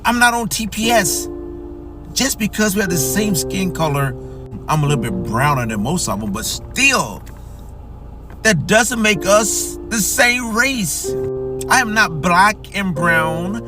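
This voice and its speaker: American guy ranting defiant and emphatic, fairly high voice, mic far off, music playing. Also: animated, a little slow. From United States. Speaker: social media commentator. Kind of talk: casual ranting monologue.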